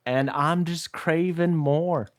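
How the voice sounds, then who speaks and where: A man's voice: a little high, fairly even intonation, slow. A man co-hosting a casual podcast, playful and often repeating himself as he works out a thought. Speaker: podcast co-host. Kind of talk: casual chat.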